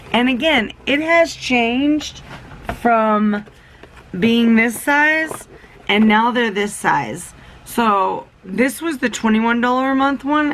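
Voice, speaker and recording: A woman's voice: mid-range voice, creaky, fairly bright tone, slight background noise, poor audio. A young woman vlogger chatting through a beauty box unboxing, rambling and enthusiastic, a little sick and losing her voice. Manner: rambling, self-interrupting, enthusiastic.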